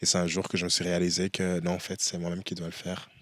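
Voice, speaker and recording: A young man from Belgium, a dancer and performance artist, speaking as an interview guest outdoors at a festival, pausing often with fillers. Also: sits low, completely flat delivery, a bit bright. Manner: halting, many pauses and "euh" fillers. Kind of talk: casual interview.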